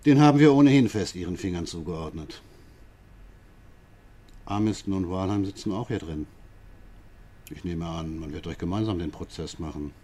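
An actor playing a sly, patronising police inspector who questions a prisoner with drawn-out, needling questions in a radio drama. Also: male voice, low-pitched, animated, warm tone. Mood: coaxing, menacing.

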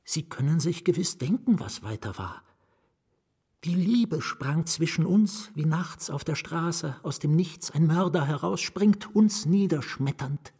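An actor in a German radio drama playing a haunted, nameless writer who confides in a halting, dramatic way, sometimes hushed to a whisper. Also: male voice, a little high, animated, breathy edge, full character voice. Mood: intense, enraptured. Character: haunted nameless male writer confiding his lost love.

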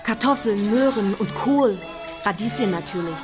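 A woman's voice: medium pitch, expressive, moderate pace, music in the background, lightly acted. An actress playing a chatty, proud neighbour woman in a radio drama scene.

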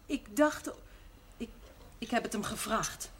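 A young farmer's daughter in a television drama. Her fairly high voice is dutiful and polite, yet frank and outspoken. The old soundtrack carries faint noise. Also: takes it slowly. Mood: tense, reproachful. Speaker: actress in a television drama series. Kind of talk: naturalistic period television drama acting.

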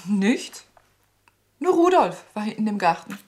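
An actress playing a meek, dreamy, sleepless spinster in a German radio play, answering hesitantly and compliantly. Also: female voice, mid-range voice, sing-song, unhurried, darkish tone. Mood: meek, obedient.

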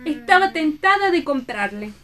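A woman's voice: high voice, big pitch swings, normal speed, pushed and bright, a little hiss or hum, exaggerated voice. An actress playing a jealous, romantic woman in a theatrical radio play. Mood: wistful.

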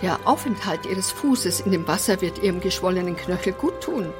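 A woman's voice: lowish voice, animated, moderate pace, over music, lightly acted. An actress playing a prim, bossy and whimsical eccentric woman in a German radio play. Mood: prim, insistent. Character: fussy, eccentric fish-loving woman living in an apartment house.

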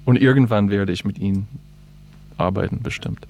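Fairly low-voiced man chatting casually. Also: normal speed, creaky, darkish tone, a little hiss or hum. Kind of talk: casual chat.

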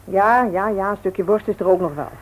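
A woman's voice: mid-range voice, moderate pace, lightly acted. An actress playing a worried, scolding middle-aged mother in a Dutch radio play. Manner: fretful, reproachful, motherly. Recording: muffled phone-like old radio recording.

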